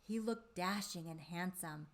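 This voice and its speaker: Lady reading a kids picture book, really slow and deliberate, dramatic stressed words. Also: on the low side. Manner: deliberate, dramatic phrasing with drawn-out emphasis. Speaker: children's storybook reader and presenter. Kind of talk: expressive read-aloud storytelling for young children.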